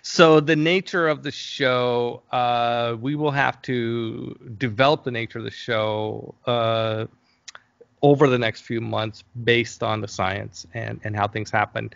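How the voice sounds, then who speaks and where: A man's voice: medium pitch, steady tone, slow, vocal fry, fairly bright tone, smooth, dry recording, lo-fi sound. A middle-aged man chatting casually as an interview guest, thinking aloud in a hesitant, rambling way. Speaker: interview guest, game company founder.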